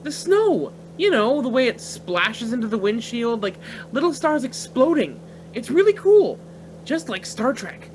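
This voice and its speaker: An actor playing an eager, carefree young student pilot in a naturalistic radio play, boyish, slangy and enthusiastic. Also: male voice, extremely high pitch, very animated, measured pace, darkish tone, slight background noise, exaggerated voice, poor audio. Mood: carefree, excited. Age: young adult.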